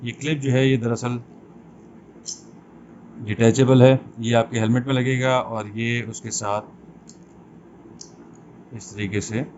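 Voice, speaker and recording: A man's voice: medium pitch, little pitch movement, takes it slowly, long pauses, a little mellow. Man explaining in dull, lo-fi audio. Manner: conversational, mixes English terms into speech. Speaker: product reviewer, host. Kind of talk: unboxing and review talk, explanatory. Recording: dull lo-fi sound, faint background noise.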